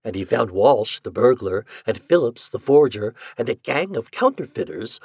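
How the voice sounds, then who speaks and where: Kindly old male doctor, played by a male narrator: earnest, pleading, emphatic audiobook dialogue, pitched up and very animated. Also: moderate pace, breathy edge, no room sound, studio quality. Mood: soothing, flustered.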